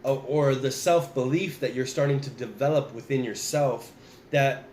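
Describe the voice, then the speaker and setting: A man's voice: mid-range voice, moderate pace, echoey room, slight background noise. A man giving a casual tarot reading, talking straight to his viewers while getting over the flu. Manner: relaxed, repeats and restarts, addresses viewers directly. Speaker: tarot reader.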